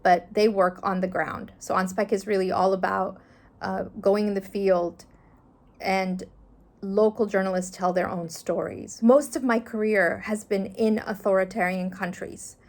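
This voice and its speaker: A woman's voice: mid-range voice, fairly even intonation, measured pace. A middle-aged woman from Afghanistan, a journalist, talking earnestly and thoughtfully in an interview, with some fillers. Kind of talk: reflective interview answers.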